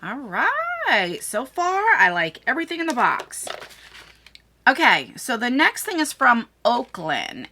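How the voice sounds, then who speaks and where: Casting: woman, middle-aged, effusive beauty vlogger, bright forceful voice with a gravelly fry, casual unboxing chat. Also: medium pitch. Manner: effusive, talks to viewers and to the items. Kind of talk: casual chatty product unboxing.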